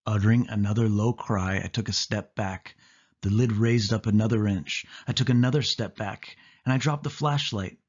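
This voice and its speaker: A man narrating a suspense story in the first person, with expressive, dramatic delivery. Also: on the low side, moderate pace, highs cut off. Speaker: audiobook narrator.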